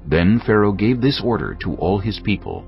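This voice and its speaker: Deep solemn male Bible narrator. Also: very animated, vocal fry. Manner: measured, solemn. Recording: dull studio track under music.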